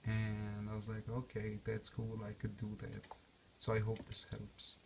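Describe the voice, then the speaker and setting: Young man with an accent, gravelly tone, relaxed chatty vlogger who rambles and restarts. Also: fairly low pitch, unhurried, background noise. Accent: non-native English accent. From born and raised outside the US. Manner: rambling with many fillers and restarts. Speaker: vlogger reviewing a game console. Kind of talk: casual unscripted walkthrough.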